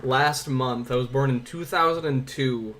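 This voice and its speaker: A man's voice: mid-range voice, unhurried, fairly bright tone, slight background noise. A man in his twenties chatting casually on a livestream, informal and rambling, with some swearing. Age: 20s. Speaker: livestream host. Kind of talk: casual livestream chat with viewers. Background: music.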